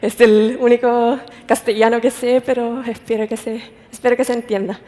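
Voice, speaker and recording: A woman's voice: fairly high pitch, flat delivery, normal speed, dark tone. Woman speaking in a large hall. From Finland. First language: Finnish. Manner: careful, with frequent restarts and hesitations. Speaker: conference speaker. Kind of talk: conference talk.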